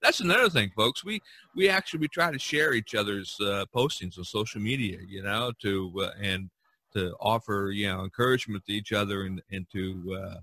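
A man hosting a casual podcast over a video call, talking in a rambling, animated way. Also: lowish voice, fairly quick, no room sound. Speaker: podcast host. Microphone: video call microphone.

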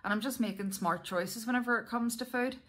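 A woman's voice: a little high, brisk, a bit bright. A Northern Irish woman chatting casually and candidly to her viewers, with a self-deprecating, conversational manner. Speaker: vlogger.

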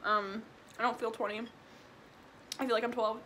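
A woman's voice: a little high, level tone, normal speed, a bit bright, a little hiss or hum. A twenty-year-old woman from Illinois chatting casually and eating while she answers viewer questions, with lots of "like" and "um". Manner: chatty, eats while talking, frequent "like" and "um". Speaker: vlogger. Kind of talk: casual mukbang Q&A chat. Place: small room indoors at home.

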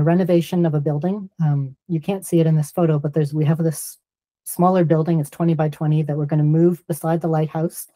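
Very mellow, measured man. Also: a little high, no room sound, rough recording. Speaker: guest, lighthouse organisation executive. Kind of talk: explanatory interview talk. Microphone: video call.

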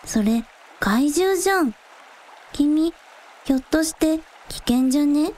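Actress as a young idol girl in a radio play, high voice, breezy n blunt, kinda slangy. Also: animated, slow, darkish tone. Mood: amused, blunt. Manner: breezy, slangy, matter-of-fact. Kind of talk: Japanese radio drama acting.

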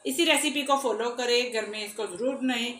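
A woman's voice: a little high, moderate pace, fairly bright tone. A middle-aged woman, a cooking channel host talking viewers through a recipe step by step in a friendly, chatty way in her home kitchen. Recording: echoey kitchen with faint background noise.

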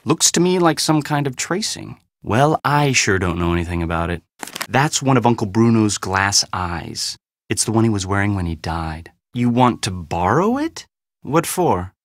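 An actor playing an anxious young slacker in a video game, with a casual drawl and a defensive, pleading manner. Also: male voice, on the low side. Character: whiny, anxious young slacker man, pushed around by his girlfriend.

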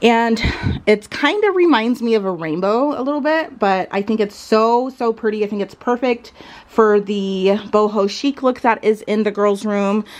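A woman's voice: medium pitch, moderate pace. A woman in her thirties chatting casually and enthusiastically, like a lifestyle vlogger showing things off at home. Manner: enthusiastic, gushing. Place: furnished bedroom at home, indoors.

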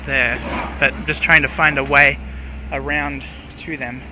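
A man, casually narrating while he walks outdoors, relaxed and hesitant, with many fillers. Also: mid-range voice, a little slow. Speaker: urban explorer vlogger. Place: outdoors beside a busy road. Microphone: handheld camera mic, speaker off screen. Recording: outdoor camera audio with clear traffic noise.

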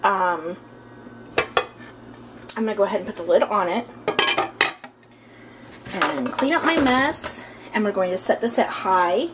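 A woman's voice: mid-range voice, animated, a little slow, long pauses. A woman in her thirties chatting in an upbeat way as she cooks in her home kitchen. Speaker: home cooking vlogger. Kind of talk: casual cooking narration. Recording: noisy kitchen with clattering dishes.

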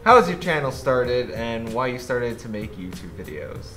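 Young man, twenties, laid-back, agreeable, middle pitch, casual studio Q&A. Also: sing-song, normal speed, bright, forceful tone, soft background music. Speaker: channel co-host reading viewer questions. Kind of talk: casual Q&A chat. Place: large studio room full of shelves.

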